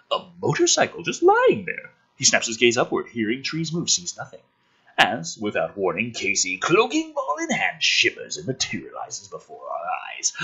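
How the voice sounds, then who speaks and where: Husky, wildly animated male script reader. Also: fairly low pitch, highs cut off. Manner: theatrical, emphatic, sarcastic. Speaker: host reading a film script aloud. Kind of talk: dramatic script reading with sardonic asides.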